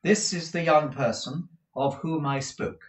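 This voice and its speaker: A man's voice: fairly high pitch, unhurried, reverberant, far from the mic, voice pushed up, natural voice. A man voicing a bossy, imperious businesswoman and wife from a period novel, with an exclamatory, scolding manner. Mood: businesslike. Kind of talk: audiobook character voice from a period novel.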